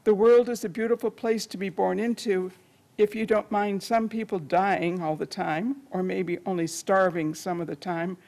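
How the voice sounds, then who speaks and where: A woman's voice: mid-range voice, vocal fry, a little mellow. An older woman, around her seventies, reading a poem aloud at a lectern in a library meeting room. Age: older, around 70s. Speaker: poetry reader.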